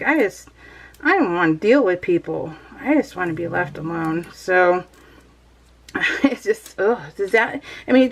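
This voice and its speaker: A middle-aged American woman chatting casually as a vlogger, telling personal stories in a rambling, emphatic way with exasperated asides. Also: medium pitch.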